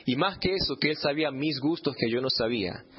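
A man's voice: fairly high pitch, a little fast, breathy edge, muffled recording. A man gives a personal testimony as humorous storytelling, playfully acting out bits of dialogue. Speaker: church testimony speaker.

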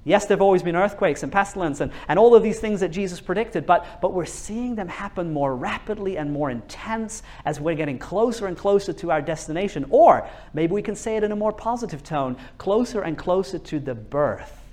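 A man's voice: high-pitched, expressive, a little fast, mellow. A man preaches a sermon in an earnest, urgent way. He often repeats words as he builds momentum. He turns to his listeners with questions to draw them in. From Norway.

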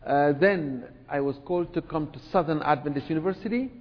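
A middle-aged man from Senegal who grew up in France, giving a talk in a relaxed, conversational way with many fillers and asides. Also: a little high, fairly quick. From Senegal, raised in France. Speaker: speaker, church representative. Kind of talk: sermon-style lecture. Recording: dull sound with long hall reverb.

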